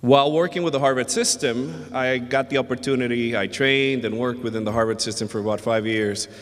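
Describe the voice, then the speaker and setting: A man from the Dominican Republic giving a conference presentation, speaking in a measured, hesitant way with many filler sounds. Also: mid-range voice, steady tone. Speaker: invited conference speaker, emergency physician. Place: hotel ballroom at a summit. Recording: long reverb of a large ballroom.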